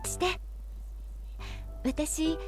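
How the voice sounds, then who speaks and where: An actress playing a gentle, cheerful motherly bakery woman in a visual novel, speaking softly and politely with affection. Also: very slow. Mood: tender. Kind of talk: Japanese visual novel voice acting. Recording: game audio with clear background music. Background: music.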